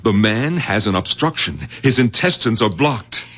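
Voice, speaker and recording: A man's voice: mid-range voice, animated, normal speed, a bit bright. An actor playing an overwrought, insistent doctor in a 1970s radio drama, speaking urgently and repeating his words in awe. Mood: fervent confession. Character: overwrought doctor son-in-law, awed and insistent. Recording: muffled old radio drama recording.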